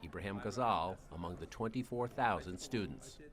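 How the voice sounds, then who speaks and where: Low-voiced male news correspondent. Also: normal speed. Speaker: news correspondent narrating a report. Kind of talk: scripted news narration.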